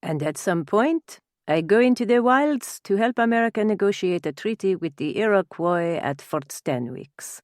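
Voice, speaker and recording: A woman voicing a warm, gallant French officer and nobleman with a French accent in an audiobook, effusive and courtly. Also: high voice, lively intonation, moderate pace, hardly any pauses, no room sound, studio quality. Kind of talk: audiobook character dialogue.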